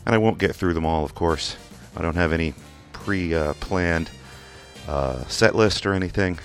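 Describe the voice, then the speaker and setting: Super deep voiced kentucky guy djing on community radio, laid back and rambly, faint music under him. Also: normal speed. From England. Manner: relaxed and rambling, many fillers and restarts. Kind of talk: casual radio DJ chat between records. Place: community radio station studio.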